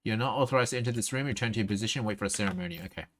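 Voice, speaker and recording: A man with a fairly deep voice plays a game on a livestream. He reads dialogue aloud a bit haltingly and breaks off to comment. The microphone picks up clear background noise and clicking. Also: fairly bright tone. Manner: reads on-screen text aloud haltingly, interjects reactions. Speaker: livestreamer playing a video game. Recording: clearly noisy mic with clicks.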